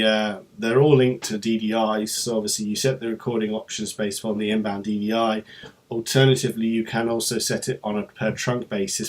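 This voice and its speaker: A man's voice: lowish voice, slightly rough. A man presenting an informal, unscripted company explainer vlog, talking in a hesitant, rambling way with trailing asides.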